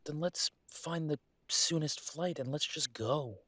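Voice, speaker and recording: A man acting an affectionate, world-weary werewolf detective in an intimate audio drama, soft and coaxing with thoughtful pauses. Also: a little high, no echo.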